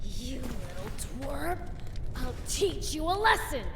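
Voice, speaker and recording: A woman's voice: high-pitched, very animated, slow, noisy background, full character voice. A woman playing a bratty, fierce young demon prince in a video game cutscene, brash and taunting. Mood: angry, defiant. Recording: echoing reverb, music behind.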